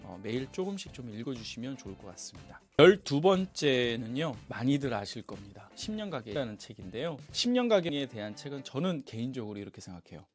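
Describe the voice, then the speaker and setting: A man speaks as the host of an advice channel for parents, over soft background music. His pitch is average for a man but moves around in a very expressive way. He explains his recommendations informally and addresses the listener directly.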